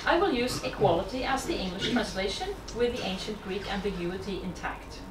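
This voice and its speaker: A woman's voice: mid-range voice, expressive. Norwegian woman lecturing in echoey hall. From Norway. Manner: measured, reads from prepared text with small self-corrections. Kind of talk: academic lecture. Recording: echoey hall from far off, background noise.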